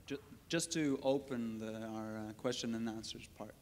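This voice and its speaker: A man moderating a festival panel, brisk and organizing. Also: mid-range voice, a little mellow. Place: large festival hall. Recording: reverberant hall, faint noise.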